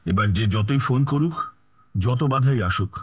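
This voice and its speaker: A man's voice: medium pitch, normal speed, slightly rough, highs cut off, natural voice. A man voicing a fretful, dramatic middle-aged householder in a cartoon, exclaiming and repeating himself theatrically. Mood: exasperated. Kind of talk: cartoon voice acting. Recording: music behind the voice.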